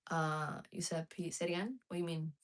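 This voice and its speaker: Woman streamer, frank and casual, slightly low voice. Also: steady tone, fairly quick. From United States. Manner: chatty, frank, answers chat messages as she goes. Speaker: live streamer. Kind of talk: casual live chat with viewers.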